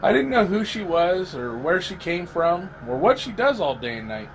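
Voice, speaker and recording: Man acting as lonely apocalypse survivor, higher-pitched voice. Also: very animated, slight background noise, poor audio. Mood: lonely, worried. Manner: halting, dramatic pauses, repeated words. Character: lonely weary male apocalypse survivor, haunted and grieving. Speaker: amateur voice actor. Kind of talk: scripted first-person audio drama narration.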